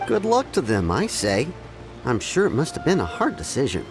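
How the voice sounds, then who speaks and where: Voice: mid-range voice, huge pitch swings, moderate pace, music in the background. A voice actor playing a townsperson who cheerfully approves of a match. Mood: approving. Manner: breezy, approving. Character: approving townsperson passing comment. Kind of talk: video game cutscene dialogue.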